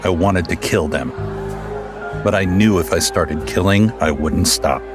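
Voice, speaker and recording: A man acts as a brooding, retired vigilante billionaire in an audio drama. His voice is very low, with a grave, confiding manner, and music plays clearly behind him. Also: measured pace. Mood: dark, confessional.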